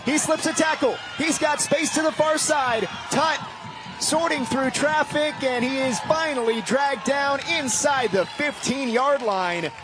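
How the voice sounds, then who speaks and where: A man's voice: very high voice, measured pace, music in the background, crowd noise. A man calling live sports play-by-play in clipped, vivid phrases. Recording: noisy live game broadcast.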